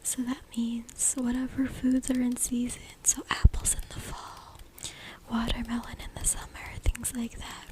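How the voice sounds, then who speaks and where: A woman reading aloud in a soft, hushed, deliberate ASMR whisper, close to a USB microphone. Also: on the high side, completely flat delivery. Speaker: ASMR creator reading from a book. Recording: noisy close USB mic with clicking. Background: noise, tapping and clicking sounds.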